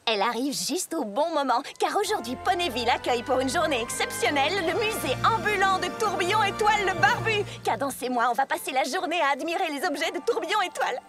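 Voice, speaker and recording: A woman's voice: very high-pitched, fast talker, few pauses, music in the background. A woman playing an earnest, eager and slightly anxious young female pony in a cartoon dub. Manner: earnest, excitable, expressive. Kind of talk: animated cartoon dub acting.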